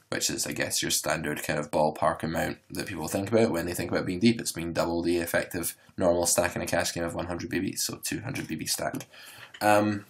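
A man, hosting a poker podcast and explaining strategy in a relaxed, rambling way with many fillers. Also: deep voice. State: hoarse. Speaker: poker coach and podcast host. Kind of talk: solo instructional podcast.

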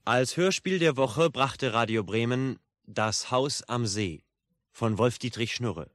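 Fifties radio announcer guy, formal, slow, dry sound, reading out credits. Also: medium pitch, expressive, fairly bright tone, no room sound. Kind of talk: formal scripted 1950s broadcast credits.

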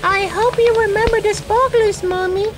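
An excited little girl from a storybook, put on by a man in falsetto. The voice is extremely high and squeaky, full of hope. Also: moderate pace, distant mic, noisy background.

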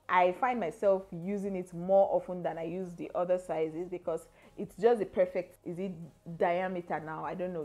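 Young Nigerian woman, crafting vlogger, casual chatty delivery, slightly low voice. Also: mellow. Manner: chatty, repeats "okay?" to check in with viewers. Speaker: crafting YouTuber. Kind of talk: casual tutorial talk to camera. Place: indoor room with armchairs, seated.

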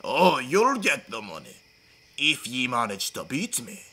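Old sailor, male actor, playful drinker, pirate talk, animated, for anime parody. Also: mid-range voice, unhurried, a little hiss or hum, heavily acted. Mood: smug. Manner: hearty pirate-like speech. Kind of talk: comedic anime parody dub.

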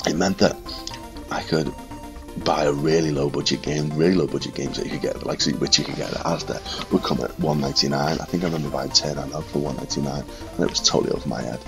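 A man in his thirties with a northwest English accent and a cold, reminiscing quietly and casually to camera in a room at home. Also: rumbling low, steady tone, fast, gravelly, music in the background. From Liverpool and Widnes, England. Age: 30s. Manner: quiet, subdued late-night talking. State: congested with a cold. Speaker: retro gaming video maker. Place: small furnished room at home, indoors.

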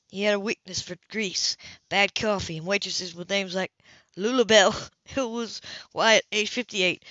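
A woman's voice: mid-range voice, fairly bright tone, dry recording, muffled recording. A woman reading a novel aloud as an audiobook, sometimes stumbling and restarting on words. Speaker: audiobook reader. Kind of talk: audiobook narration.

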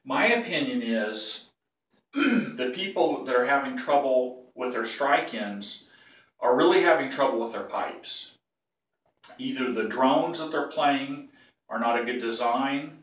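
An older man with a cold teaches bagpipe technique in a casual, rambling way. He keeps clearing his throat and stops to correct himself. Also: medium pitch, fairly bright tone. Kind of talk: casual tutorial. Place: small tiled bathroom. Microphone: phone or camera some feet away. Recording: echoey bathroom, voice from a distance.

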